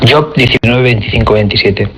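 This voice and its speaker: A man's voice: medium pitch, fast, over loud music. A man reading scripture aloud as a preacher. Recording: noisy shortwave radio broadcast.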